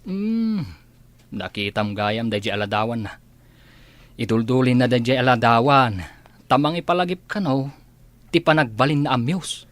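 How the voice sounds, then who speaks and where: A man's voice: medium pitch, animated, moderate pace, pauses often, no room sound, lightly acted. An actor playing a curious, questioning young man in an audio drama. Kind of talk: Ilocano audio drama acting.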